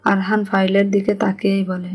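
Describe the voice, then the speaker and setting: A woman's voice: medium pitch, level tone, moderate pace, husky. A woman with a Bangladeshi accent reading scripted narration of a romantic story. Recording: music under the voice.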